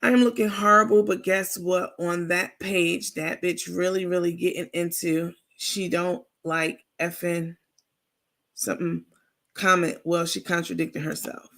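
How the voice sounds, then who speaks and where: A woman with a raspy voice hosting a casual livestream, chatting informally with viewers and reading out their comments. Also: on the low side, level tone, a little slow, a bit bright. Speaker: livestream host.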